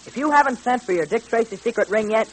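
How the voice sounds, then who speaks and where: A man's voice: very high voice, lively intonation, quick pace, slightly rough, heavily acted, low-quality recording. A male performer playing an eager, earnest boy in a sponsor spot on an old radio serial. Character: eager, earnest boy, detective's young ward. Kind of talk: 1930s radio ad acting. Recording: hissy old radio recording.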